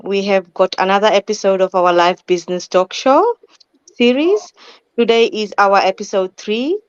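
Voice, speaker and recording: A woman hosting a live online talk show, speaking in a halting, hesitant way as she introduces it. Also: medium pitch, clean tone, dry recording, lo-fi sound.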